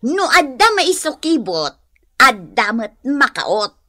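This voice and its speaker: A kind-hearted village shopkeeper who chides and exclaims. Her voice is very high and bright, with a Filipino accent, in the style of radio drama. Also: very animated, slow pace, exaggerated voice. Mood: kindly advising. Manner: chiding, exclamatory, motherly advice-giving. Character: Isang, scolding but kind-hearted village shopkeeper woman. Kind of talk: Ilocano radio drama acting.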